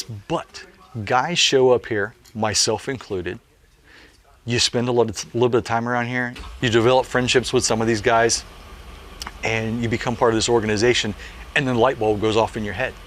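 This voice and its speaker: A man in his late forties, a parachute jumpmaster, telling stories in a casual interview with an earnest manner and many "you know" fillers. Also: on the low side. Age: late 40s.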